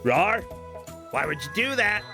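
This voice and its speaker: A man's voice: high voice, sing-song, a little slow, vocal fry, over music. A man hosting a game tournament stream, chatting casually with a player and laughing often.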